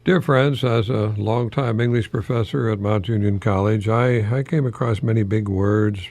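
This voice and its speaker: An older American man hosting a folksy solo radio talk in a halting, rambling, easily amused manner. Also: on the low side, steady tone, darkish tone. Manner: chuckles often at his own remarks, halting and rambling. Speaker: radio host, longtime English professor.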